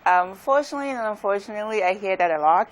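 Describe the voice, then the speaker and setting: A woman from Ghana, a contractor, answering interview questions softly with frequent hesitant "um"s. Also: medium pitch, animated, rapid-fire, poor audio. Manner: soft-spoken, hesitant, frequent "um"s. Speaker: contractor, guest.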